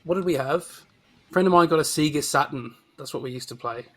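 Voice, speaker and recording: Male podcast host, fairly high voice, easygoing conversational delivery. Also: fast talker, a loud crowd. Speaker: podcast host steering the discussion. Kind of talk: casual chat.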